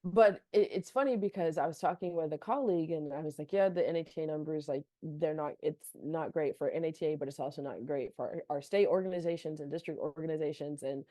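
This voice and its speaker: Young woman, low pitch, mellow tone, dry close sound, chatty with lots of fillers. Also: fast, few pauses, no echo. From North Carolina. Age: young adult. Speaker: guest athletic trainer. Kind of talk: casual podcast interview.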